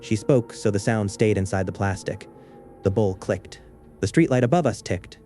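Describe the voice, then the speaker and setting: A man with a low, mellow voice tells a creepy small-town story in scripted first person. His delivery is measured and understated, with soft music faintly underneath. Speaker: narrator.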